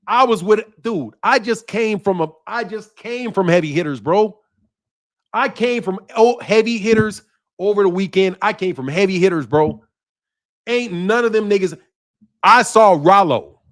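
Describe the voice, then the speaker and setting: Male streamer host, high-voiced, slangy, animated off-the-cuff boxing talk. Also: moderate pace. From Chicago area. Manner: animated, slangy, loud outbursts and laughter. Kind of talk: casual livestream talk. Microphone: streaming mic.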